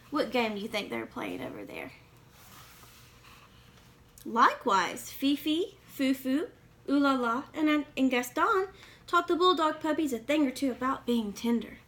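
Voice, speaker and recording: High-voiced woman reading a picture book. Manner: expressive, questioning child-directed delivery. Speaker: read-aloud reader. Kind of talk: children's picture-book read-aloud with asides to listeners.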